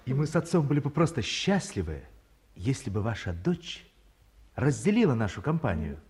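A man's voice: mid-range voice, big pitch swings, normal speed, a little mellow. An actor playing a polite, suave young man with formal, courteous 1950s Soviet radio play delivery. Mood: pleased, formal.

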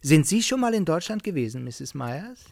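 A genial, talkative host in his forties in a naturalistic radio drama. His pitch swings widely as he makes effusive, slightly needling small talk, recorded very dry and close. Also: big pitch swings, moderate pace, creaky, a little mellow, dry recording. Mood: probing. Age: 40s. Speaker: actor in a radio play. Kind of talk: naturalistic radio play acting.

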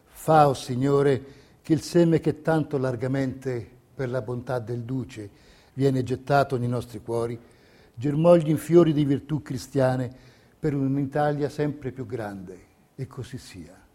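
An actor playing a solemn narrator who reads wartime history and letters in a theatrical documentary style. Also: male voice, mid-range voice, unhurried, mellow, close to an everyday voice. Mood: prayerful.

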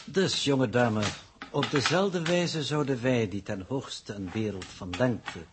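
Man acting a pedantic, low-voiced sci-fi coordinator. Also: background noise, lightly acted. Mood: pedantic, condescending. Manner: formal, deliberate, condescending lecturing. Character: calm, pedantic male scientific coordinator lecturing on parallel worlds. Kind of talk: 1960s radio drama acting. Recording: muffled-edged vintage 1960s radio recording.

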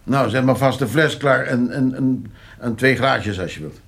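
A man's voice: mid-range voice, moderate pace, vocal fry, fairly bright tone. Stubborn, grumbling countryman. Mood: grumbling, resigned. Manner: hesitant, short muttered replies. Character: stubborn, grumbling countryman just home from hospital. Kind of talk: radio play acting.

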